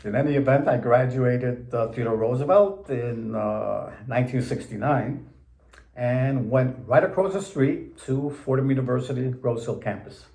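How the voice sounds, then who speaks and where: A man in his seventies, born in Puerto Rico, recalling his path to the bench in a slow, deliberate, plain-spoken way. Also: mid-range voice, vocal fry, dark tone, echoey room. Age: 70s. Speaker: interviewee judge. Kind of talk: measured recollection in an interview.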